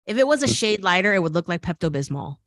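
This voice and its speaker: A woman's voice: fairly low pitch, sing-song, quick pace, a bit bright, slight background noise. Chatty American woman host. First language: Korean. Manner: chatty, laughing, teacherly asides. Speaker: host and fashion design teacher. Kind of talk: casual video call interview.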